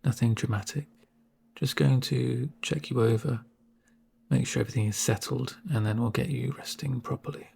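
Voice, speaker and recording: A man playing a calm, reassuring medic with dry humour in a soft-spoken, hushed ASMR roleplay. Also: mid-range voice, gravelly, breathy edge, exaggerated voice. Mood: soothing, calm. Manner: unhurried, soothing, deadpan asides. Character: calm male corporate medic, gentle, reassuring, drily sardonic. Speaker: medic caring for a patient.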